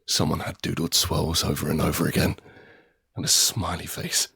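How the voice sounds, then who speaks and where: A man's voice: very deep voice, little pitch movement, normal speed, gravelly, a bit bright, breathy edge, full character voice. A man acting a desperate, anguished middle-aged father in a post-apocalyptic audio drama, narrating in the first person with raw intensity. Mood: grim, restrained. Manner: intense, emotionally raw, sweary. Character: desperate, anguished father surviving an apocalypse, haunted and guilt-ridden.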